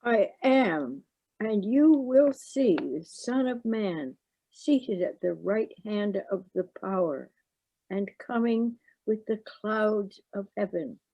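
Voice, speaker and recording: A woman playing a calm, solemn prisoner answering his judges in an amateur scripture reading, in measured words. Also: mid-range voice, no echo, muffled recording.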